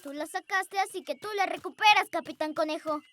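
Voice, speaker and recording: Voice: very high-pitched, fairly quick. An actor voicing a confident, friendly young boy rabbit in a children's cartoon dub in Latin American Spanish. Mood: playful. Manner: lively, childlike, encouraging. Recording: loud music behind the voice.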